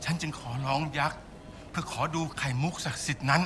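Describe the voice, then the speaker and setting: Actor as an earnest, very brisk village storyteller. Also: male voice, mid-range voice, steady tone, fairly bright tone, slight background noise, lightly acted. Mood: solemn storytelling. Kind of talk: cinematic game cutscene acting.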